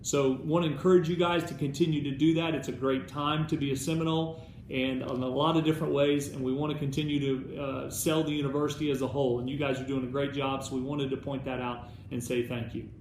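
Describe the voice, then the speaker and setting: A man's voice: medium pitch, little pitch movement, fast talker, a little mellow. An American man giving an encouraging, instructive, semi-scripted update to volunteers as a staff presenter. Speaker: alumni club staff presenter. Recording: roomy echo, background noise.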